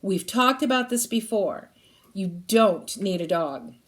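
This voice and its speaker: A woman's voice: medium pitch, lively intonation, slow pace, fairly bright tone. A woman voicing a distracted preacher father in a children's storybook, terse and firm but kindly underneath. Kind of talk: children's storybook read-aloud.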